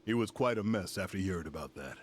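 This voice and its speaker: An actor playing a dignified, courteous senior commander in a video game, speaking formally and with measure. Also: male voice, deep voice, animated, slight background noise. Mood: gracious, calm. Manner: formal, measured, stately praise. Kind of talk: video game cutscene acting.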